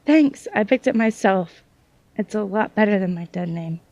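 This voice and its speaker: A woman playing a hesitant, self-doubting young psychic in a naturalistic audio drama, speaking in halting phrases. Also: medium pitch, expressive, darkish tone, no echo, close to an everyday voice. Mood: casual.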